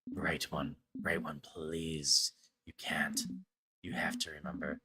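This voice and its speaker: A man voicing a solemn, weary king in a game, speaking in a slow, grave, imploring way. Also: rumbling low, flat delivery, bright, forceful tone, slightly rough, pitched below the performer's own voice, exaggerated voice. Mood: grave pleading. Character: solemn, pleading, world-weary king addressing a chosen traveler. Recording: music behind the voice.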